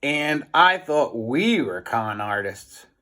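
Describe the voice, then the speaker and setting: A man's voice: medium pitch, big pitch swings, slow pace, bright, forceful tone, heavily acted. A man voicing a cheeky, skeptical schoolboy prankster from a children's storybook, with a casual, teasing manner.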